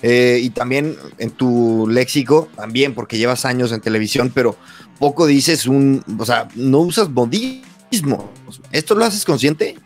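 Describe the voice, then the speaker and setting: A man hosts a casual interview podcast and asks his questions haltingly, restarting and rephrasing in mid-sentence. His voice is medium in pitch, with faint music in the background.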